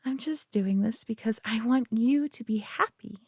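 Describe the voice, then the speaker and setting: A woman playing a prideful, possessive alien being in a roleplay audio drama, talking down to the listener with coaxing, deliberate care and dropping into whispers. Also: on the high side, a little mellow, husky, dry recording, clean studio sound. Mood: smug, reasoning. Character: prideful, possessive female superior alien being, condescendingly caring.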